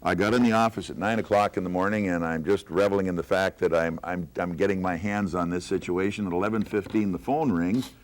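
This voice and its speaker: Older American male, veteran radio announcer type with a low voice, reminiscing among colleagues. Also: a little fast, hardly any pauses. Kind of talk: reminiscing in a group conversation.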